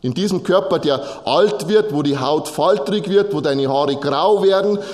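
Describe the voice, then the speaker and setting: Male preacher, slightly high-pitched voice, explanatory sermon delivery with direct address, long hall reverb. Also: a little slow, a little mellow. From Bavaria, Germany. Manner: explanatory, direct address with short repeated phrases. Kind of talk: sermon, teaching from Bible texts to a congregation.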